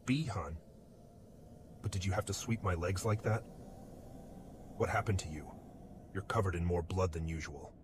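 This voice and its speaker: A man's voice: deep voice, normal speed, long pauses, background noise, natural voice. A man playing a principled, earnest warrior, the younger brother, in an audio drama. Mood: concerned.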